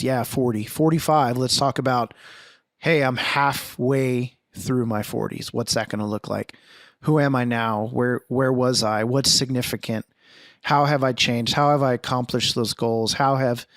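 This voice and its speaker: A man's voice: medium pitch, no room sound. Podcast host, male, 40, solo reflective monologue, earnest and searching, close dry mic. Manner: earnest, thinking aloud with restarts and rhetorical questions.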